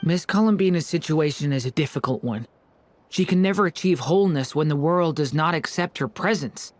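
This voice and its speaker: A woman's voice: low voice, animated, fairly quick, a little hiss or hum. A woman playing a solemn, courteous game character who speaks in formal, precise, restrained words. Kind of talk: English video game dub acting.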